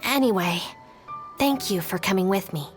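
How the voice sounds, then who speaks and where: A woman's voice: medium pitch, animated, moderate pace, natural voice. A woman playing a stern, exasperated, dutiful young gang deputy in a video game, dry and no-nonsense. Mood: weary exasperation. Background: game music.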